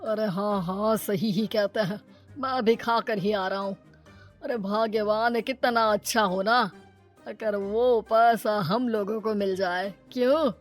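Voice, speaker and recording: A woman voicing a greedy, scheming middle-aged moneylender in an animated moral story, sly and brusque. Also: medium pitch, unhurried, soft background music, lightly acted.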